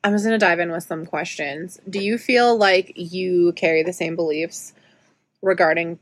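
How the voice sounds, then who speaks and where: A woman's voice: lowish voice, fairly even intonation, moderate pace, dry recording. A woman with a Canadian accent hosting a casual group discussion in a joking, teasing way. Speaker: podcast host.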